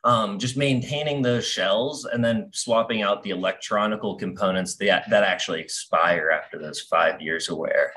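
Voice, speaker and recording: Young male founder, upbeat, slightly low voice, casual filler-heavy speech. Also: moderate pace, hardly any pauses, bright, forceful tone. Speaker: startup founder. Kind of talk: pitch and Q&A. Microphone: webcam on video call.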